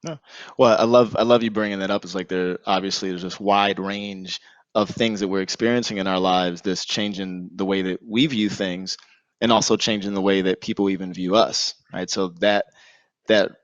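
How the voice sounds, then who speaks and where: A man's voice: sits low, steady tone, moderate pace, dry recording, highs cut off. A man moderating a panel discussion as host, with an encouraging, appreciative manner.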